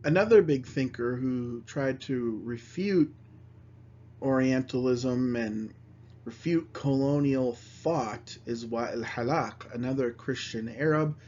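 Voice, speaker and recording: A man's voice: medium pitch, slow pace, darkish tone. Man giving a recorded history lecture. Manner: reads quotations aloud, then explains them in plain words. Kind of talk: reading and explaining a history lecture. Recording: slightly dull, lo-fi recording.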